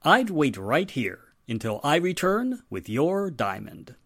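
A man's voice: a little high, sing-song, slow pace, a bit bright, no echo, clean studio sound, heavily acted. A man acting a stern, commanding police inspector in formal 1940s radio drama style. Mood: assured. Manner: authoritative, formal.

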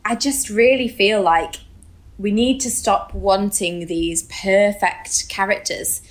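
A woman in her thirties with a mid-pitched voice, chatting as a podcast co-host. She speaks candidly and casually, leaning on her words for emphasis as she discusses books. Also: slow pace. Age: 30s.

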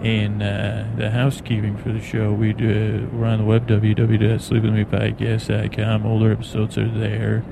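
Droning, creaky American man over plane noise. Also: lowish voice. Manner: meandering, halting, droning, soothing. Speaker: podcast host. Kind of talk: rambling bedtime sleep podcast monologue. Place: aboard an airplane in flight. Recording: clear engine and cabin noise. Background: steady plane noise.

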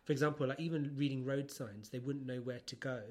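A British man co-hosting a podcast, chatting casually and humorously about books in an animated, chatty way. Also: mid-range voice, a little fast, a little hiss or hum. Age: adult, over 25. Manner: animated, chatty, giggly storytelling. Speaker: podcast co-host. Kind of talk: casual humorous book chat.

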